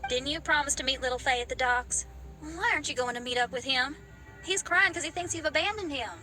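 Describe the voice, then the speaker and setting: A woman's voice: high voice, fairly quick, music in the background, lightly acted. A woman voicing a friendly, frank young woman in video game character dialogue. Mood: concerned.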